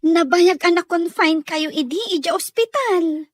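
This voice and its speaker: Woman acting as very high-pitched chatty friend. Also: unhurried, no echo. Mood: cheerful teasing. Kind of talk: Ilocano audio drama acting.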